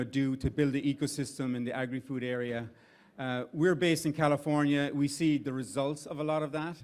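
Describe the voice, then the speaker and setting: A middle-aged man with an Irish accent, giving conversational opening remarks at a live event, with restarts and fillers. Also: mid-range voice. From Ireland. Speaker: CEO of an investment firm giving opening remarks. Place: event venue with an audience.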